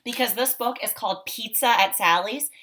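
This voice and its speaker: A woman's voice: on the high side, normal speed, bright, forceful tone. Woman teacher leading a picture-book read-aloud. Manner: encouraging, simple child-directed talk with frequent questions. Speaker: teacher reading aloud to children. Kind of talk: read-aloud picture walk, explaining and questioning.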